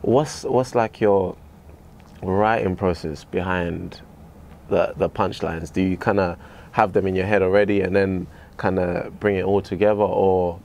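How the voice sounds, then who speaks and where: Low gravelly young man. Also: steady tone, a little mellow, slight background noise. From Tanzania. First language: Swahili. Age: young adult. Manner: laid-back, slangy, drawn-out. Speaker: interviewer, fellow artist. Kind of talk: casual chat. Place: small carpeted room, seated in armchairs.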